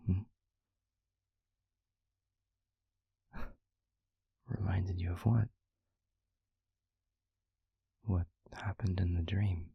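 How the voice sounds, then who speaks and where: A man playing a gentle, weary demon in an intimate audio roleplay, with a soft, halting, breathy delivery that often nears a whisper. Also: deep voice, fairly even intonation, dry recording, dull audio, heavily acted. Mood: gently curious. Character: gentle, weary male demon, tender and guarded.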